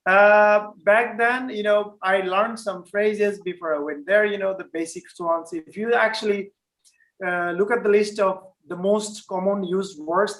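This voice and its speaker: A man's voice: high-pitched, fairly bright tone, poor audio. A man from Finland speaking as a panel guest in a live online discussion, conversational with frequent fillers and restarts.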